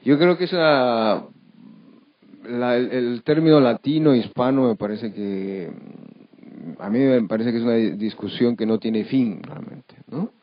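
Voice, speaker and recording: Halting man, dull lo-fi recording. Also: mid-range voice. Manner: halting, hesitant, searching for words, frequent tag questions. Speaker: panelist. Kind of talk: unscripted panel discussion.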